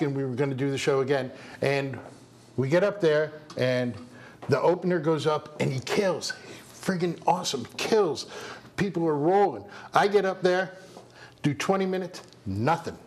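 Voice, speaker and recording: Male comedian guest, dry and self-deprecating, slightly high voice. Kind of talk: casual chat, telling stories.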